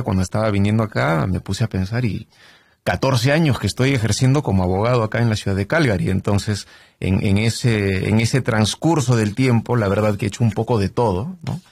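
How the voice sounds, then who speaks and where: A middle-aged man from Canada talks through his work as a trial lawyer, stopping often on fillers and tag questions. His voice sits low and husky, and the sound is dry and close. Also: a little mellow, no room sound. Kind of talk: conversational interview.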